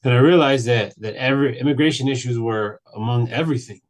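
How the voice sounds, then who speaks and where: A man in his late thirties, from Mexico, telling his personal story on a panel in an earnest, halting way, with many restarts. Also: medium pitch, low-quality recording. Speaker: panel speaker. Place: virtual event.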